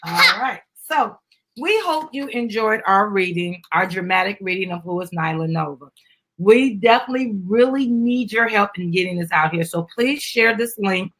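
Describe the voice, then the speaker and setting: A woman's voice: mid-range voice, expressive, moderate pace, fairly bright tone, a little hiss or hum, low-quality recording. A woman chatting casually to viewers as she hosts a live stream and promotes a children's book. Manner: chatty, addresses viewers as guys.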